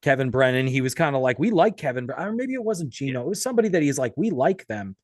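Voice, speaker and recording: A man's voice: mid-range voice, sing-song, fast, no echo. Sweary, rambling man in dry podcast chat. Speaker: podcast interviewer. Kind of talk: casual chat.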